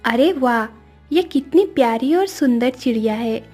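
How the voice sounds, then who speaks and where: Woman as a sweet, high-voiced young princess. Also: lively intonation, a little slow, a little mellow, breathy edge. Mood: admiring. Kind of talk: cartoon story voice acting. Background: music.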